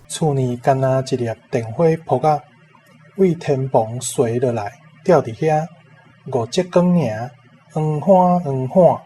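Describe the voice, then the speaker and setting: A man's voice: medium pitch, measured pace, mellow. A man with a Taiwanese accent, a language teacher, reciting a translated literary sentence. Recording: faint background noise, ambience.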